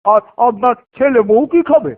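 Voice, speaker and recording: Man as high-pitched nosy cartoon shopkeeper. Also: moderate pace. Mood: doubtful. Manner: questioning, skeptical. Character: nosy village fish shopkeeper, doubtful and chatty. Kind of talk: cartoon voice acting. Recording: tinny phone-like lo-fi audio.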